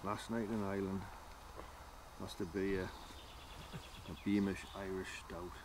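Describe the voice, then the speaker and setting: A low-voiced man talks casually and jokes dryly while camping with friends. He is outdoors, with steady nature noise clear behind him. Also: slow. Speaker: motorcycle camping vlogger. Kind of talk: casual vlog chat. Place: outdoor campsite.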